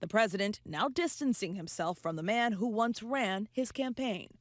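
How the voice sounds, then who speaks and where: A woman's voice: medium pitch, moderate pace, no echo, studio quality. A woman news correspondent delivering a scripted report.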